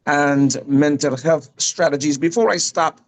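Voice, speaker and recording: A man with a Guyanese accent making emphatic, deliberate panel remarks, repeating his phrasing. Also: on the high side.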